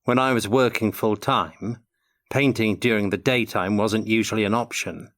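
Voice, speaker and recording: Older man with a lowish voice. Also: fairly even intonation, moderate pace, a bit bright. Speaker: interview guest, tabletop game designer. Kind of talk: prepared answers in a Q&A.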